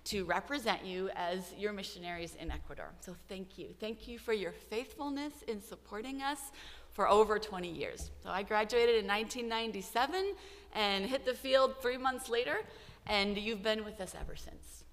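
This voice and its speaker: A woman's voice: medium pitch, normal speed, in a large hall. A woman in her forties, a missionary telling a heartfelt story of her work to supporters. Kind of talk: church missions update.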